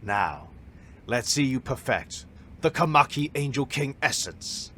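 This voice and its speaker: A man playing a proud, stern martial arts master who instructs a pupil in formal, measured speech for an audio drama. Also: medium pitch, very animated, sharp tone, slight background noise. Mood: challenging. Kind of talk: fan audio drama acting.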